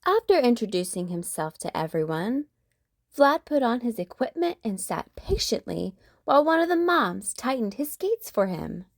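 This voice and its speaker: Expressive woman reading a kids' story. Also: fairly high pitch, moderate pace, no room sound, clean studio sound. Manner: expressive, sing-song storytelling. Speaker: children's storytime host. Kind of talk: reading a picture book aloud to kids.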